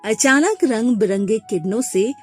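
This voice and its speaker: A woman narrating a scripted moral tale as an expressive storyteller. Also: fairly high pitch, unhurried, soft background music.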